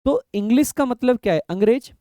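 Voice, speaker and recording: A young man with a high, mellow voice teaches grammar for competitive exams. He walks through each rule patiently, voicing his students' doubts and then settling them himself. The recording is close and dry, with no room sound. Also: lively intonation, no echo. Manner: explanatory, asks and answers his own questions, reads rules slowly. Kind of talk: exam-prep grammar lecture in Hindi.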